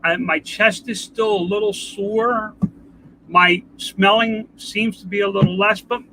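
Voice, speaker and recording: An older man with a congested nasal voice, talking in a rambling, halting way in a casual home video update. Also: high-pitched, fairly even intonation, sharp tone, slight background noise, low-quality recording. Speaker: vlogger. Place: outdoors.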